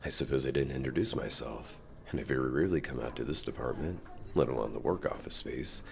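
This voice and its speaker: Very deep, gravelly CEO played by a man. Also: fairly bright tone, heavily acted. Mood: reassuring. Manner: smooth, measured, polite corporate reassurance, deliberate pauses. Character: suave, dominant male company CEO, courteous and quietly controlling. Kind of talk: ASMR roleplay acting, addressing the listener directly.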